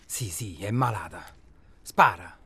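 An actor playing an impatient, gruff hunting guide in a naturalistic radio drama, terse and blunt. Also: male voice, deep voice, lively intonation, takes it slowly, sharp tone, exaggerated voice. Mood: terse, pressing. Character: impatient, gruff male guide urging a hunter to shoot.

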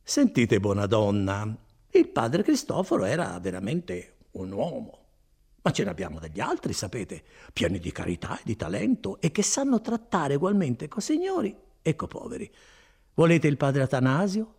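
A man voicing a placid, folksy friar in an audiobook, chattering on with unhurried reassurance. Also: medium pitch, huge pitch swings, vocal fry, a little mellow, breathy edge, heavily acted. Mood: coaxing, reassuring. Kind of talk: dramatised audiobook reading.

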